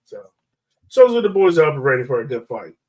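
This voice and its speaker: A man's voice: mid-range voice, big pitch swings, fast talker, darkish tone. A man hosting a sports podcast in casual, chatty, slangy talk. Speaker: podcast host. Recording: voice slightly far from mic.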